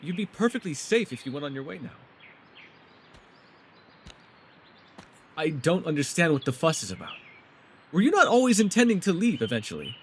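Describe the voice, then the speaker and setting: A man playing a reclusive, centuries-old elf in a fantasy audio roleplay, guarded and awkward, with an earnest, halting delivery. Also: fairly high pitch, very animated, slight background noise. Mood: concerned, confused.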